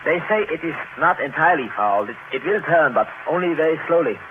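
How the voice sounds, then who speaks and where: Deferential, hesitant male naval lieutenant, an actor's role; lively swooping pitch, hissy vintage radio sound. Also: fast, lightly acted. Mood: uneasy. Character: dutiful, uneasy male submarine lieutenant. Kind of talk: old-time radio drama acting. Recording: hissy, narrow-band old radio recording.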